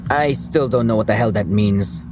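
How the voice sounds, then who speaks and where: A man's voice: on the low side, normal speed, over music, natural voice. An actor playing a blunt, irritable, foul-mouthed man in an audio drama. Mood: irritated.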